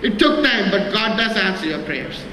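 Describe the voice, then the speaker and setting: Halting high-voiced man in echoey hall. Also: lively intonation, sharp tone. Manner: halting, many restarts and repeated words; earnest and plain. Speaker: church member giving testimony. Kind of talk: spoken testimony in church. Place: church hall. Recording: echoey hall with faint noise.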